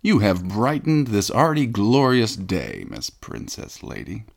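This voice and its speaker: A low, gravelly male voice fronts a comedy podcast, reading out listener mail. He sounds pompous and self-important, and he gets mock-indignant at insults. His voice has a slight rough fry to it. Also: wildly swooping pitch, unhurried. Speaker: podcast host. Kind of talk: comedic scripted podcast banter.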